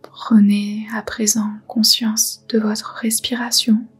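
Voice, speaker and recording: A woman softly guiding a meditation in a breathy, whispery voice, soothing and unhurried. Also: medium pitch, little pitch movement, soft background music. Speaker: yoga nidra guide. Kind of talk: guided meditation, soft whispered narration.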